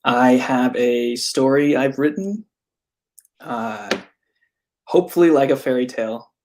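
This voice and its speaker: Medium-pitched man reading his fairy tale. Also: measured pace, a little mellow, slight background noise. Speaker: author reading own story. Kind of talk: storybook narration read aloud.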